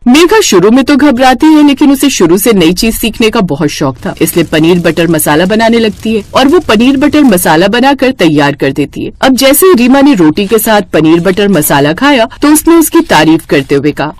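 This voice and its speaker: A woman narrating a scripted cartoon story in an expressive storytelling manner. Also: mid-range voice, moderate pace, few pauses.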